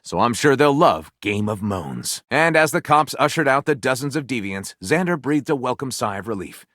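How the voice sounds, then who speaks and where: A man playing a lively, theatrical, self-satisfied storyteller. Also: mid-range voice, moderate pace, no echo, studio quality, close to an everyday voice. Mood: wry, dismissive. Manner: grandiose storytelling with wry, sarcastic asides. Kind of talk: video game character voice lines.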